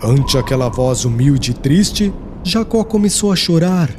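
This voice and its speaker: A male audiobook narrator reads dramatic literary prose solemnly. His voice sits fairly high and moves through a wide melodic range, with slight noise in the background. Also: expressive, a little slow. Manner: solemn, formal.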